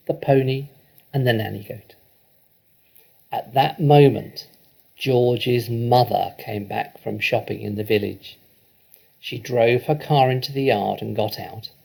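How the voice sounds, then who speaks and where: A man reading a children's story aloud in an expressive, deliberate, dramatic storytelling manner. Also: medium pitch, creaky, breathy edge, poor audio. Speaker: storybook reader.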